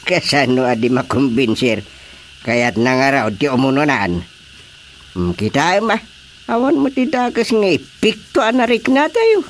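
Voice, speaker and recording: Slow, lively-pitched Ilocano village chairman. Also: a little hiss or hum. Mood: amused, agreeable. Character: unhurried village chairman, male, in comedy drama. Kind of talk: radio drama acting.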